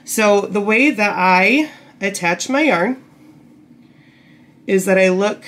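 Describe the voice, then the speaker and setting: A woman getting over a cold, a bit croaky, patiently explaining knitting steps while working with her hands. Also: mid-range voice, takes it slowly, fairly bright tone. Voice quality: slightly gravelly. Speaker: knitting tutorial host. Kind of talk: casual step-by-step instruction. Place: indoor table, camera over hands.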